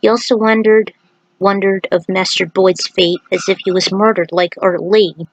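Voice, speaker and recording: A woman's voice: mid-range voice, steady tone, moderate pace, no room sound, lo-fi sound. A woman narrating a scripted story, occasionally stumbling over words as she reads. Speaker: narrator reading own fan fiction.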